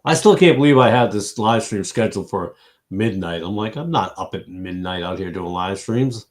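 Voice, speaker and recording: Stuffy, tired man with a low voice. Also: expressive, normal speed. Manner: rambling, many restarts and fillers, thinking aloud. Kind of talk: late-night livestream talk on faith.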